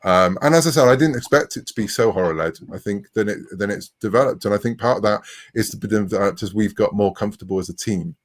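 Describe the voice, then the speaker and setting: A man's voice: lowish voice, fast. A man in his forties speaking conversationally as a writer being interviewed. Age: 40s. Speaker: interviewed writer of comics and novels.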